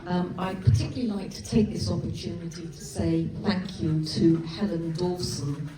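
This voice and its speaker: A woman's voice: on the low side, moderate pace, noisy background. A woman author with a Gloucestershire accent reading from her novel at a live event, chatty and self-deprecating with frequent ums. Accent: Gloucestershire English. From England. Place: event hall with a live audience. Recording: echoey live hall from far off with audience.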